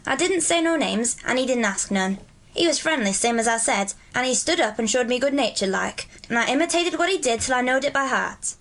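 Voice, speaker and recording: A woman's voice: fairly high pitch, lively intonation, brisk, fairly bright tone, voice pushed up. A woman voicing a cheerful, friendly Yorkshire country boy who speaks in broad rustic dialect. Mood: earnest.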